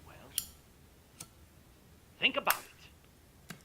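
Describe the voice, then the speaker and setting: An actor playing a folksy, theatrical male televangelist, preaching doom with drawn-out emphasis over noisy television sound. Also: sharp tone, heavily acted. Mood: rhetorical, ominous. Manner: rhetorical questions, drawn-out emphasis. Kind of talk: theatrical TV sermon.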